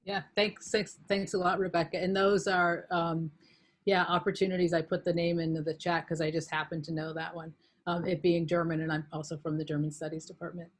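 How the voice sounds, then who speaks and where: A woman's voice: on the low side, little pitch movement, fairly quick. A woman acting as moderator, informally thanking and introducing speakers on a panel.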